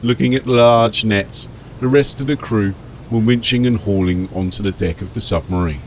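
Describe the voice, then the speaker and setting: A man's voice: lowish voice, moderate pace, a little hiss or hum, rough recording. A man narrating an audiobook story in a scripted, dramatic storytelling style.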